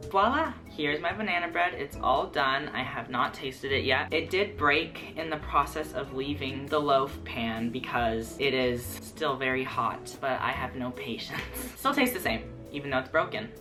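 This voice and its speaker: Late-teen male YouTuber, native Japanese speaker, rambling carefully enunciated chat; echoey kitchen, soft music underneath. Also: mid-range voice, animated, normal speed, few pauses. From United States. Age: late teens. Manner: rambling, carefully articulated words. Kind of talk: casual baking vlog chat. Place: home kitchen.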